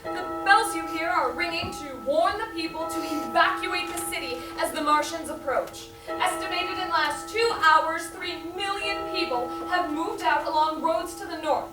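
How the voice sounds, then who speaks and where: A woman's voice: extremely high pitch, moderate pace, few pauses, music in the background. A woman playing a horrified radio reporter in a 1930s-style radio drama, giving clipped, declamatory eyewitness bulletins on a stage. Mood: grave, resigned. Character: doomed radio reporter watching the invasion, increasingly horrified. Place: live theatre stage. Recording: echoey stage, distant microphone.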